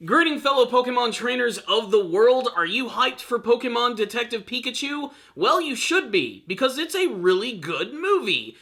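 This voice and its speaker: A man's voice: very high-pitched, big pitch swings, moderate pace, hardly any pauses, bright, forceful tone. A young man giving an upbeat, emphatic review as an enthusiastic fan, speaking directly to viewers. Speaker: video reviewer and channel host.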